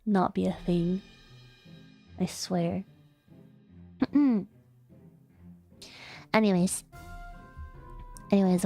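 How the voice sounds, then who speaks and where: A young woman casually chatting on a livestream, giggly and rambling, with lots of fillers and restarts, playful and affectionate toward her chat. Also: medium pitch. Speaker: streamer. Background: faint background music.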